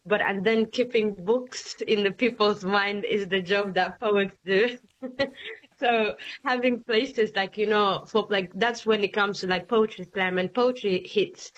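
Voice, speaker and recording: A woman's voice: mid-range voice, fairly even intonation, unhurried. Woman, from Somalia, poet guest, hesitant fillers, slightly dull recording. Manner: hesitant, many fillers, laughs often. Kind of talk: casual interview chat.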